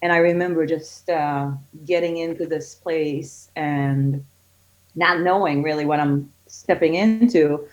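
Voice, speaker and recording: A woman's voice: low voice, a little slow. A middle-aged woman from Israel, a guest who runs a kids yoga studio, chatting casually with many fillers. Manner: chatty, many fillers, gets excited when telling stories. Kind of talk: casual podcast interview. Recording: dull lo-fi audio.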